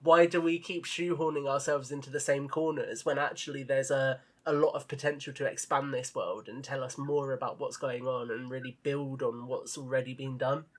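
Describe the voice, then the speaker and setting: A man's voice: mid-range voice, level tone, moderate pace, few pauses. A man talking casually as a podcast guest, earnest and enthusiastic, with emphatic praise and opinions. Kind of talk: casual film fan discussion.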